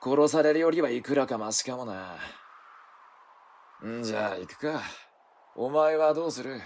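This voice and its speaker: A man's voice: medium pitch, animated, normal speed, darkish tone, a little hiss or hum, lightly acted. A man playing a cool, blunt killer in an audio drama, with a casual, curt delivery. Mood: cool, matter-of-fact. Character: cool, blunt male werewolf, a killer's partner. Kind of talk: Japanese audio drama acting.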